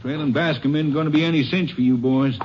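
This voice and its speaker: An actor playing an easygoing county sheriff. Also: male voice, fairly high pitch, steady tone, fast talker, natural voice. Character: helpful small-town sheriff man. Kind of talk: 1950s radio drama acting. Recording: dull, noisy 1950s radio broadcast.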